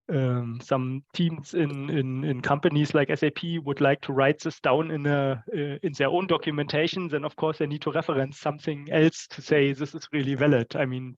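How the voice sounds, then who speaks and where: A man's voice: a little high, no room sound. A man explaining things tentatively and casually in a technical online meeting, as a working group participant. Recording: muffled, dull online call audio.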